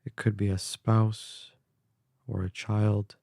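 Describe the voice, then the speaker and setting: Male meditation guide: calm, gentle, mellow tone with some creak, recorded close and dry. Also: on the low side, no echo. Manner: calm, measured, gentle, with long pauses. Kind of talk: slow guided meditation narration.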